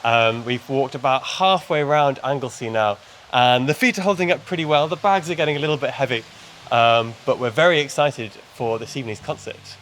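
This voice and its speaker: A man's voice: mid-range voice, fairly quick, few pauses, a bit bright, slight background noise. A man who is a touring musician, casually introducing the stop and asking interview questions in an easygoing way. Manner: easygoing, with some "um"s. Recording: roomy space with faint music.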